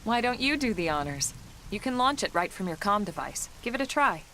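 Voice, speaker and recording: An actress playing a composed, brisk military officer who instructs with measured authority in a video game. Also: female voice, mid-range voice, big pitch swings, noisy background, natural voice. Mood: calm, businesslike. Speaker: squad leader and trainer. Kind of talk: scripted video game dialogue.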